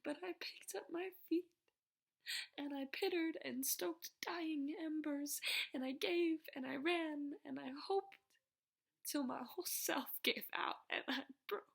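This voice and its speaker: High-pitched, emotional young woman reciting slam poetry. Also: unhurried, gravelly. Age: young adult. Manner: emotional, vulnerable, rhythmic delivery with hushed phrases. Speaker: slam poet.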